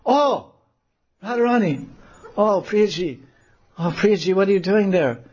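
A man's voice: high-pitched, lively intonation, a little slow, darkish tone, husky, dull audio, pitched above the performer's own voice, full character voice. A man doing anxious, fussing young women friends. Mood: distressed. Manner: anxious, crying out.

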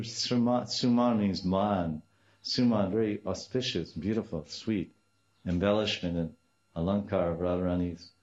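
Older guy, deep voice, informal devotional teaching w/ sanskrit words, kinda dull muffled recording. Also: darkish tone. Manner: storytelling with repeated phrases and Sanskrit terms.

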